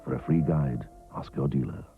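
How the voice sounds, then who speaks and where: A man with a gravelly voice reading a scripted television advert on a thin old recording. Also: extremely low pitch, moderate pace, phone-quality sound. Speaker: advert narrator. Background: music.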